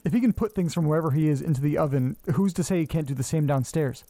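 An actor playing an anxious, lonely, self-doubting man who talks haltingly to himself in a horror audio drama. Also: fairly high pitch, dark tone, dry recording, lightly acted. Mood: puzzled, uneasy. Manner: halting, muttering, talking to himself. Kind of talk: audio drama monologue, naturalistic acting.

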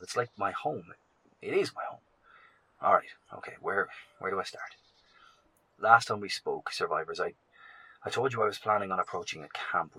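An actor playing a weary, anxious professor in a horror audio drama, speaking gravely and hesitantly in a recorded broadcast to survivors. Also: male voice, low voice, bright, forceful tone, full character voice, rough recording.

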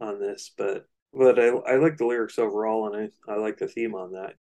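Low-voiced man thinking aloud. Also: fairly even intonation, mellow. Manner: halting, thinking aloud, analytical. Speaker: co-host of a music discussion show. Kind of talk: casual album discussion.

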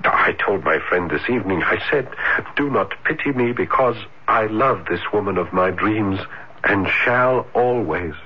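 Slow, ardent young romantic, low-voiced. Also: male voice, lively intonation, slightly rough. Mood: earnest, adoring. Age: young adult. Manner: breathless, hesitant, florid romantic declarations. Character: shy, ardent young dreamer, romantically infatuated. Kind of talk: 1970s radio drama acting. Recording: muffled noisy old radio broadcast.

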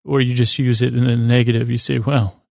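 A man with a creaky voice, hosting a podcast as a rambling, halting bedtime monologue that trails off and restarts. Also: medium pitch, level tone, darkish tone, no room sound, studio quality. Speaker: podcast host.

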